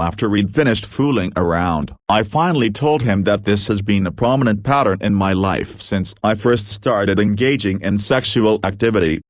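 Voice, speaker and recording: A man narrating online posts aloud in a steady read-aloud manner, speaking each post in the first person. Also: on the low side, no echo, studio quality.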